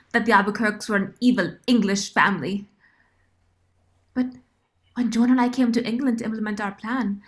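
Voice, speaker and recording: A loyal, remorseful nursemaid played by a woman delivers a confession in a period radio drama. Her medium-pitched female voice is earnest and solemn, each phrase set out deliberately. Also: lightly acted. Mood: troubled.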